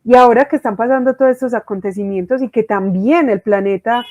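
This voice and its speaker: A woman's voice: fairly high pitch, fairly quick, darkish tone. A woman speaking as a talk show host, offering reflective commentary.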